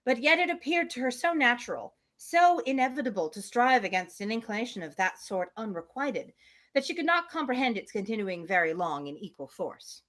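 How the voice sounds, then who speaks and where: A woman's voice: a little high, animated, brisk, fairly bright tone. A woman reading a classic novel aloud on a livestream, slipping into chatty asides to her listeners.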